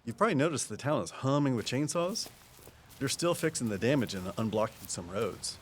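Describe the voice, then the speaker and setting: An actor plays a folksy small-town conservation man in an audio drama. His voice is medium in pitch, and he explains things patiently in an easygoing, down-to-earth way. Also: animated, brisk, a little hiss or hum, natural voice. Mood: measured, puzzled.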